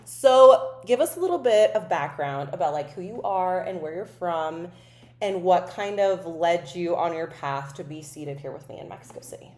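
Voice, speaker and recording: A woman's voice: on the low side, animated, darkish tone. A woman hosting a podcast in a casual, chatty interview style, with informal phrasing. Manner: informal, frequent "like", quick to laugh. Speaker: podcast host, writer and coach. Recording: roomy, echoing sound.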